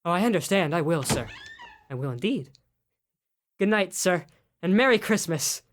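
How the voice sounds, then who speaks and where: A man's voice: high voice, animated, moderate pace, a little hiss or hum. A man playing a meek, humble male clerk in a student radio drama, deferential and eager to please.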